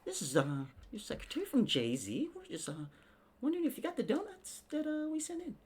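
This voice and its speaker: A man voicing a polite, chirpy female secretary on a phone call, with sugary, halting small talk in a comedic aside. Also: extremely high pitch, huge pitch swings, darkish tone, voice pushed up, full character voice. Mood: falsely sweet.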